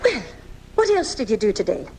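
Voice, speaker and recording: A woman's voice: a little high, sing-song, fairly quick, a little mellow, slight background noise. A woman playing a kind, encouraging young schoolteacher in a 1980s live radio drama, recorded off the air at home. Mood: warm, tender.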